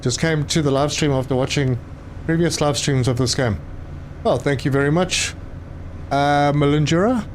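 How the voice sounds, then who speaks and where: A man, live streaming a game and chatting with viewers, often pausing with fillers. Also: on the high side, slight background noise. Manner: chatty, reads chat aloud, hesitant with fillers. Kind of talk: casual live stream commentary.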